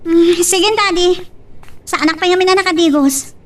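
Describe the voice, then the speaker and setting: An actress playing a boss's pouty, insistent young daughter in a radio drama. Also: female voice, extremely high pitch, normal speed, distant mic. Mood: brisk, agreeable.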